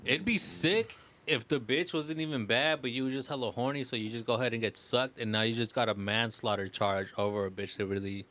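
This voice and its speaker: A man's voice: medium pitch, a little fast, few pauses, a bit bright, slight background noise. A man in casual podcast banter, profane and joking. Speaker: podcast co-host.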